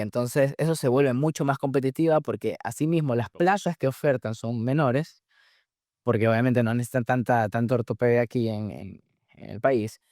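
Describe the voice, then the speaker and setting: A man in conversation, explaining step by step as he goes, often restarting phrases and filling pauses. His voice sits at an ordinary middle pitch. The recording is dry and close, with no room echo. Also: darkish tone. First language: Spanish. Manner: explanatory, frequent restarts and fillers. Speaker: guest, surgery resident in the US. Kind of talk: conversational interview answers.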